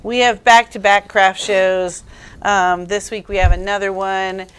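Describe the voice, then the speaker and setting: A woman in her thirties co-hosting a live craft stream from a workshop, easygoing and quick to laugh, recorded by a camera mic. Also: medium pitch, little pitch movement, fairly bright tone, clear, resonant tone, poor audio. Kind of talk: casual chat while crafting.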